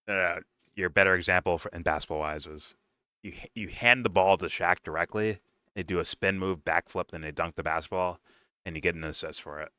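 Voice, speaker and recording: A man in his twenties hosting a casual reaction show, talking in an animated way, swearing and laughing often. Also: deep voice, moderate pace, long pauses, clean tone, no room sound, clean studio sound. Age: 20s.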